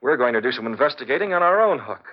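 Fast-talking masked crimefighter. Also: male voice, medium pitch, very animated. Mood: confident, brisk. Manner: brisk, commanding, clipped. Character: confident, decisive male masked crimefighter giving orders. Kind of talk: 1940s radio acting. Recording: dull old radio recording, faint noise.

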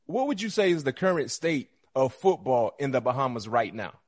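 A man's voice: mid-range voice, big pitch swings, moderate pace, a crowd in the background. A middle-aged American man, a former athlete, casually hosting a sports interview. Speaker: show host, former football player.

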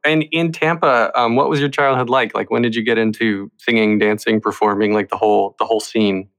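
A man's voice: lowish voice, steady tone, a little fast, fairly bright tone. Chatty man hosting a podcast. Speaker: podcast host. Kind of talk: casual interview.

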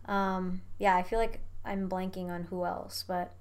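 A young woman from Indonesia, chatting casually as a guest, animated and full of "like" and "you know what I mean", with casual swearing. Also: lowish voice, measured pace, smooth. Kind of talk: casual chat.

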